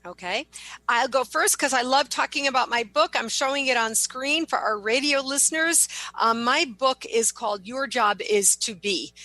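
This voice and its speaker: A woman's voice: fairly high pitch, bright, forceful tone, no echo, rough recording. A woman author appearing as a guest on talk radio, speaking eagerly and with emphasis.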